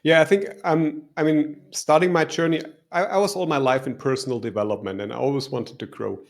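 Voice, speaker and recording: A man's voice: fairly high pitch, fairly even intonation, brisk, gravelly. A middle-aged man from Germany with a German accent, a life coach answering interview questions in a reflective, somewhat hesitant way.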